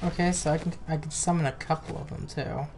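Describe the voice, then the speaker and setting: A woman's voice: low-pitched, fairly even intonation, quick pace, slight background noise. A woman streamer chatting casually while playing a co-op video game. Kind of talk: casual co-op gameplay chat. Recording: loud game music behind. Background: video game music.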